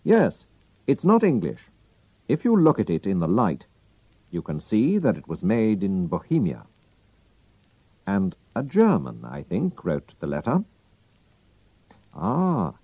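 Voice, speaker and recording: A man voicing a calm, clever male detective who talks briskly as he reasons. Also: fairly low pitch, slightly rough, no echo, pitched above the performer's own voice, full character voice. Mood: cool, knowing. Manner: brisk, deducing, lively.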